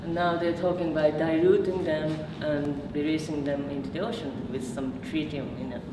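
A low-pitched woman gives a lecture in a meeting room, pausing often on fillers and restarting her sentences. She is picked up from far off, with a roomy echo and steady background noise. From Japan. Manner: hesitant, many fillers and restarts. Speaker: PhD researcher giving a talk. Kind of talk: public lecture with slides. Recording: echoey room from far off, noisy.

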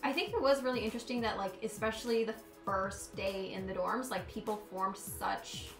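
A young woman from Thailand with a mid-pitched voice, reflecting in a measured, casual way. Her voice carries some room echo, and soft music sits in the background. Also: steady tone. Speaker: guest, former reality show contestant. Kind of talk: casual chat. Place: living room, indoors. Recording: roomy living room with faint music.

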